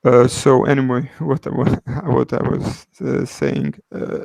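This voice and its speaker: A man's voice: mid-range voice, flat delivery, unhurried, creaky, a little mellow, no echo, poor audio. A man from Croatia with a Croatian accent, calling in to an online discussion and speaking hesitantly with many uhs. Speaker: caller.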